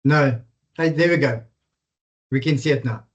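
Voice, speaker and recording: A man casually presenting his business software on a webinar, with many ums and uhs. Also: mid-range voice, moderate pace. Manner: casual, filler-heavy. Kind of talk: product presentation. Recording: dull lo-fi video-call audio.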